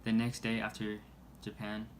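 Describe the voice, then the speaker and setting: A man reading a scripted news report aloud in a halting way, stumbling over words. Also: on the low side, monotone. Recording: noisy amateur recording.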